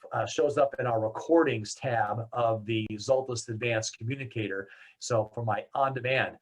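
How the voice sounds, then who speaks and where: An American man presenting a software product demo in a conversational, explanatory way. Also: medium pitch, rough recording.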